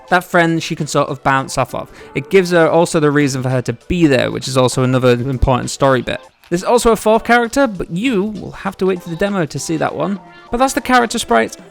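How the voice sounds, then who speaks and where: A man's voice: a little high, gravelly. A man narrating a casual devlog, explaining things step by step in an informal, chatty way. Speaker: solo game developer and devlog host.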